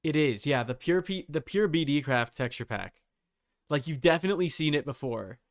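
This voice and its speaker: A man's voice: fairly high pitch, animated, normal speed. A man giving casual livestream commentary on a game, thinking aloud in slangy language with some swearing. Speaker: livestream host.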